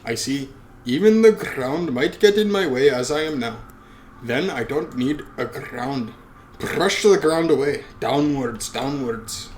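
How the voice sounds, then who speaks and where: A man with a mid-pitched voice reads a visual novel aloud. He breaks off into casual, joking asides with swearing. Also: unhurried, slight background noise. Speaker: let's play host.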